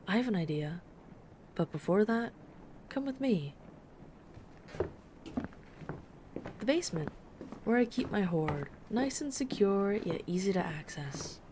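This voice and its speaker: A woman playing a gentle, affectionate dragon friend in an intimate comforting audio roleplay, speaking softly and tenderly to the listener. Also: mid-range voice, noisy background, natural voice. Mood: caring, reassuring. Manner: soft-spoken, tender, unhurried reassurance. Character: gentle, affectionate female dragon friend who loves soft, cuddly plushies.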